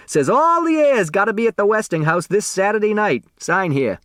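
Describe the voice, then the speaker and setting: A scrawny old delivery man for an audiobook. He is impish and gleeful, with slangy, folksy phrasing. His high, cackling voice jumps about in pitch. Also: sing-song, moderate pace, fairly bright tone, dry recording, studio quality. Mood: brisk, folksy.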